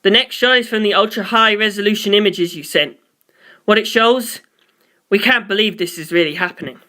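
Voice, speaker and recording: A reader as a tense, bright-voiced mission control man. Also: medium pitch, fairly quick, lightly acted. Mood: alarmed. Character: tense male mission control officer over speakers.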